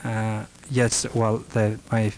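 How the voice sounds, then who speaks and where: A middle-aged Afghan man speaks in a low, level voice, stopping and restarting often as he answers questions from the audience. The talk sounds like an archival recording from a library meeting room, with a faint hiss underneath. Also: monotone. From northern Afghanistan. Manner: halting, frequent restarts and fillers, soft-spoken. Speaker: rug merchant giving a talk.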